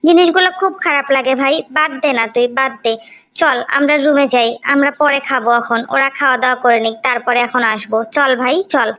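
A high female voice with a Bangladeshi accent , acted by a woman, plays a gentle cartoon sister. She is self-effacing and conciliatory, pleading to keep the peace. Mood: hurt, soothing. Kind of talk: amateur cartoon story dubbing.

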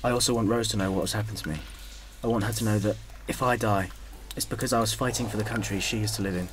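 A man's voice: lowish voice, fairly even intonation, quick pace, close to an everyday voice. A man playing a protective young First World War soldier, afraid but brave, in a radio drama. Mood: tender, subdued. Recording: noisy, with gunfire and artillery behind.

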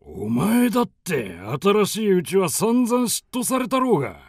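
An actor playing a domineering nomad raider chieftain in a radio drama, with rough, commanding, coarse speech. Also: male voice, high voice, very animated, a little slow, no echo, clean studio sound, full character voice. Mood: casual.

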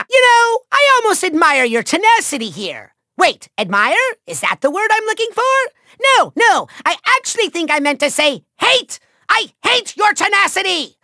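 Tiny evil overlord from a cartoony game, super high raspy forceful voice, hammy and gloating, bone dry studio sound. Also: male voice, sing-song, no room sound, full character voice. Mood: sneering, grandiose. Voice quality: shrill, gravelly, nasal. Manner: bombastic, gloating, sneering delivery with drawn-out words. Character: small megalomaniac male cartoon villain, petulant and theatrical. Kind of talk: hammy video game villain acting.

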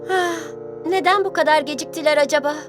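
Woman acting a gentle, high-voiced cartoon schoolteacher. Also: fairly even intonation, brisk, music in the background, lightly acted. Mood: concerned. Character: kind, patient woman schoolteacher.

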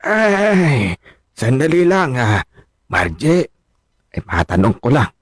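A man's voice: medium pitch, very animated, slow, no echo, studio quality. An older actor playing a teasing, affectionate elderly father in a radio drama. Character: ailing elderly father, teasing, eager for grandchildren.

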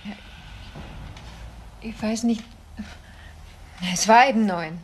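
An actress playing a nervous, submissive young woman in a German radio crime drama, hesitant and terse, with a breathy voice. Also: medium pitch, slow pace, slight background noise, natural voice. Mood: nervous, evasive. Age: young adult. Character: frightened, submissive young woman under questioning.

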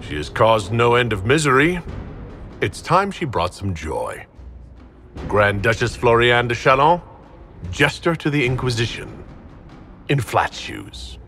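An actor playing a composed, plain-spoken leader of an inquisition in a fantasy game. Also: male voice, medium pitch, big pitch swings, measured pace, long pauses, sharp tone, soft background music, exaggerated voice. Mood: cool, judging. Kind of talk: cinematic fantasy game dialogue.

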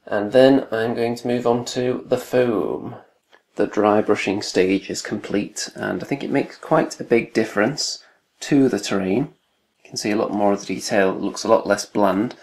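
A man's voice: lowish voice, a little mellow. Wry man in an echoey room. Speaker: hobby video creator. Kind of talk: casual narrated build log.

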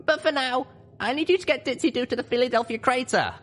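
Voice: sits high, quick pace, voice pushed up, lightly acted. A narrator voicing an earnest, determined young female pony leader, speaking solemnly and urgently in a story reading. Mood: solemn, commanding. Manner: solemn, urgent speech-making.

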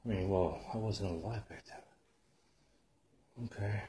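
A man's voice: lowish voice, slow pace, dark tone, a little hiss or hum. A man hosting an exploring video, giving casual, off-the-cuff commentary with muttered exclamations and some swearing. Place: on location at an abandoned house.

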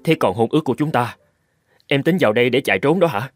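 A man voicing a hearty, friendly, teasing young soldier in a cartoon, expressive and bantering. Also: mid-range voice, fast talker, soft background music, lightly acted. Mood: teasing, amused. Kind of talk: cartoon dubbing.

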